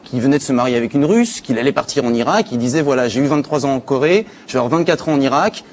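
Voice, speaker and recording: A man's voice: mid-range voice, lively intonation, fast talker, slight background noise. A man giving an informal spoken chronicle on a live talk show, as a news contributor. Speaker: contributor presenting internet news.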